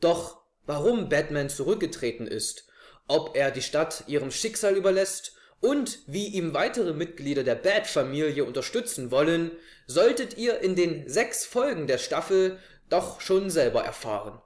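A young man hosts a review show with a fairly high voice. He presents to camera in a living room, and the recording is clearly echoey. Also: big pitch swings, normal speed. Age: 20s. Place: small living room, indoors on a couch.

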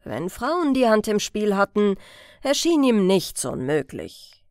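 Female story narrator, measured slow pace, scripted audiobook read, dry studio-like sound. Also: medium pitch, big pitch swings, a little mellow, no echo.

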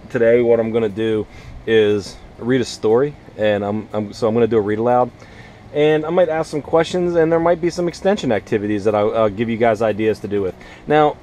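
A man in his thirties, a teacher, talking casually and a bit haltingly to camera as he explains things for families. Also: medium pitch, a little mellow, slight background noise. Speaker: teacher and parent making a family vlog. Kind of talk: casual talk to camera and children's read-aloud. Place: small plastic-covered nursery greenhouse.

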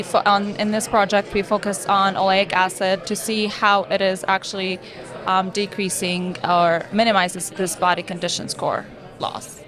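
Young woman from Lebanon, crowd behind. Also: mid-range voice. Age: 20s. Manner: many filler ums and restarts. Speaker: graduate student guest. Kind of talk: conference podcast interview. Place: conference hall booth with busy crowd behind. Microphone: table mic on stand, wearing headphones.

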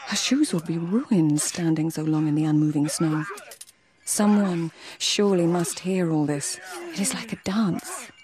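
An actress playing a proud, independent court lady in a radio drama, cool, restrained and often hushed. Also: on the low side, lively intonation, measured pace, crowd noise. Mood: tense, inward. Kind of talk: radio drama dialogue and literary narration.

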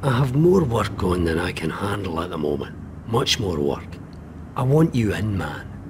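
An actor playing a persuasive spy recruiter in a video game, informal, matey and insistent. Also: male voice, sits low, big pitch swings, normal speed, background noise. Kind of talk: video game cutscene acting.

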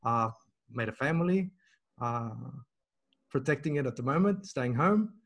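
A man's voice: mid-range voice, animated, moderate pace, a bit bright. Hesitant man from Australia on a video call. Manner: hesitant, many ums and restarts. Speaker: language school owner. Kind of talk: casual video call chat.

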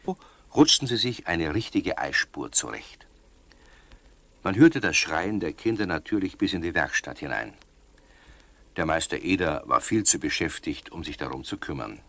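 A man's voice: low-pitched, sing-song, moderate pace, a bit bright, no room sound. A man narrating a scripted children's story as the narrator of a radio play.

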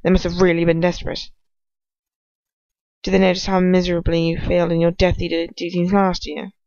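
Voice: mid-range voice, level tone, fairly quick, darkish tone, no echo, dull audio, natural voice. An amateur reader voicing a determined, defiant teenage boy wizard in a storybook reading, firm and earnest. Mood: mocking, furious.

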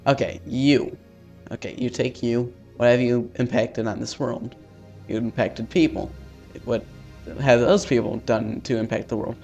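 Mellow man reading a game aloud. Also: mid-range voice, fairly even intonation, gravelly. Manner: halting reading, casual asides with swearing. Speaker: let's-player. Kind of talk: reading visual novel text aloud with casual commentary. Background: faint game music.

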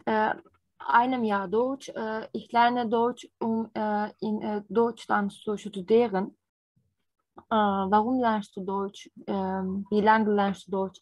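A woman in her twenties with a Turkish accent, practicing a German speaking exam, pausing often with fillers. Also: mid-range voice, fairly even intonation, darkish tone, dry recording, poor audio. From Turkey. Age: 20s. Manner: hesitant, many fillers. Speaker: nurse and language learner. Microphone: video call.

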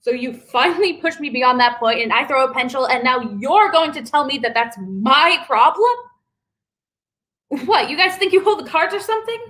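A woman performing a dramatic monologue as a furious young ex-waitress, ranting fast and swearing. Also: sits high, animated, pushed and bright. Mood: angry. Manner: angry, rapid, profane ranting. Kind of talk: live contemporary dramatic monologue.

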